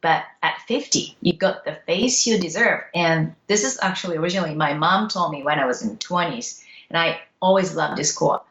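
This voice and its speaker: A woman's voice: low-pitched, normal speed, few pauses, fairly bright tone, roomy sound, lo-fi sound. A middle-aged woman from Japan with a Japanese accent, speaking as an interview guest in an earnest, reflective way. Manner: earnest, reflective, simple English phrasing. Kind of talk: casual interview talk.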